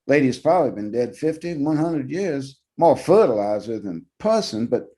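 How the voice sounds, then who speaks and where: A man's voice: mid-range voice, very animated, normal speed, warm tone, voice pushed up, lightly acted. A man voicing a talkative, blunt security guard near sixty, a retired cop with folksy opinions, in a short story reading. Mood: rambling, uneasy.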